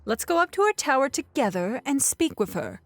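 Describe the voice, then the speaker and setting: A woman voicing a calm, regal, motherly mare princess with measured, soothing authority, in a cartoon-style story reading. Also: high-pitched, voice pushed up. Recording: faint music behind the voice.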